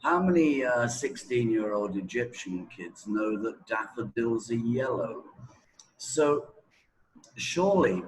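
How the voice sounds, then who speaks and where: A man of sixty, a native English speaker, explaining his views in an interview in a halting, deliberate way, as if thinking aloud. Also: mid-range voice, expressive, poor audio. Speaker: teacher trainer in reading, interview guest. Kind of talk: interview talk, explaining opinions.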